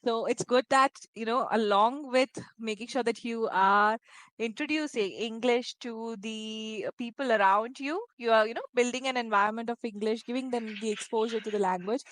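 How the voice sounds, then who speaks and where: Woman, Malayalam native, English tutor, encouraging, slightly high voice, dry recording. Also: moderate pace, fairly bright tone, dry recording. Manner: encouraging, talks in long flowing turns with "you know" and "right?". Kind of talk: one-on-one conversation lesson.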